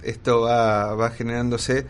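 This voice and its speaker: A man's voice: medium pitch, level tone, a little slow, smooth. Hesitant man asking questions. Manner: hesitant, meandering questions with fillers. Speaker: radio host. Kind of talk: conversational interview.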